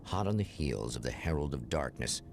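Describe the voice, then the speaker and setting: An extremely deep, crackling old-style mystery TV narrator, male and gravelly. He delivers each phrase solemnly and theatrically, as if every word were ominous. There is audible noise behind the voice. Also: animated. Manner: portentous, deliberate, theatrical. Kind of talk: dramatic scripted narration in 1960s mystery-TV manner.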